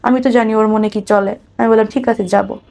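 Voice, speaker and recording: A woman's voice: a little high, steady tone, fairly quick, creaky, darkish tone. A woman with a Bangladeshi accent telling her own life story conversationally as an interview guest, acting out what people said.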